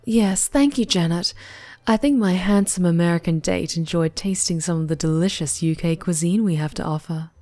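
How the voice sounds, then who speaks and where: Low-voiced, seductive vampire lady played by an actress. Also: normal speed, mellow, soft background music, lightly acted. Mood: polite, veiled menace. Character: poised, flirtatious, wealthy female vampire on a date. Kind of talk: audio roleplay acting.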